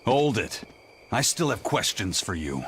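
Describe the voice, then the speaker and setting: An actor playing a grim, stubborn pirate first mate in a video game, gruff and serious. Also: male voice, on the low side, sing-song, unhurried, a bit bright, slight background noise. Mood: confrontational. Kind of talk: English video game voice acting.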